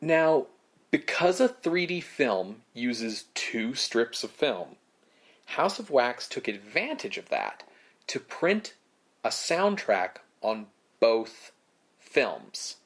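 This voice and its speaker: Man, podcast co-host, mid-pitched voice, measured explanatory delivery, scripted film history, casual asides. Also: sing-song, a bit bright.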